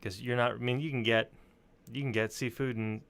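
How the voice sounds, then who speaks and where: A man's voice: mid-range voice, fairly even intonation, a little fast, a bit bright. A man telling anecdotes in casual chat, rambling informally and swearing freely. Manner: informal, profane, rambling storyteller.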